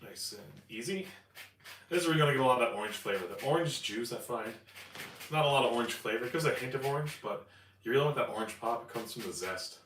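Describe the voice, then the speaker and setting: A man hosting a live home cooking stream, chatty and upbeat, his voice raspy and cracking after a flu. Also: mid-range voice, animated, quick pace, fairly bright tone. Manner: upbeat, chatty, explains steps as he cooks. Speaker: home cooking channel host. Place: home kitchen. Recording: echoey room, distant mic, faint noise. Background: kitchen clatter, hot plate.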